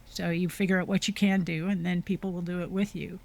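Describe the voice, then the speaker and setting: A woman's voice: on the low side, level tone, a little fast, vocal fry. Earnest, reflective woman. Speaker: podcast host. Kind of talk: casual conversation.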